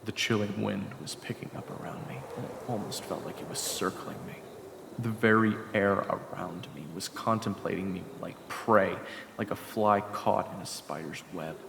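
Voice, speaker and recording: A man's voice: fairly low pitch, moderate pace, creaky, background noise. A man giving dramatic first-person scripted narration for an audio story, as its narrator. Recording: echoey long reverb, music behind. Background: background music.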